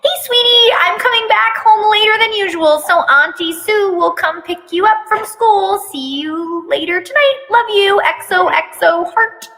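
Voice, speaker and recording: A gushing, affectionate parent from a read-aloud story, played by a woman. The voice is pitched very high and bright, with cooing sweetness, inside a long echo. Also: unhurried, hardly any pauses, heavily acted. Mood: doting. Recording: long hall-like reverb.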